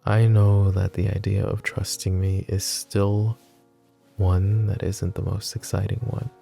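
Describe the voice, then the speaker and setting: A very deep, creaky-voiced mafia boss played by a man murmurs to his listener in an intimate audio roleplay. His tone is dark and mellow, possessive yet teasing, and he takes his time between phrases. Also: little pitch movement, a little slow, soft background music, heavily acted. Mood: tender.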